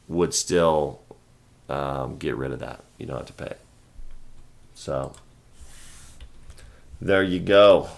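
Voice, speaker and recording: Low gravelly man chatting on a livestream. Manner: rambling, halting, repeats words, answers chat. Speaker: stock trading livestream host. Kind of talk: casual livestream chat.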